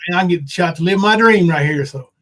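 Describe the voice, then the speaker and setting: A man's voice: high-pitched, fast, a bit bright. A man in his fifties hosting a podcast, chatting casually about old times with colloquial, folksy banter. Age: 50s. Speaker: podcast host, former pro wrestler. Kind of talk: casual reminiscing chat.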